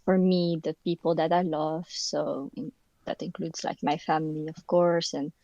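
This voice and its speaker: A woman's voice: low-pitched, steady tone, normal speed, creaky, dark tone, dry recording, lo-fi sound. A young woman from the Philippines who grew up in the Netherlands, a podcast guest speaking casually and searching for words with many fillers. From Philippines, raised in the Netherlands. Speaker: podcast guest, university student. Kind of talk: casual conversation. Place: indoor room.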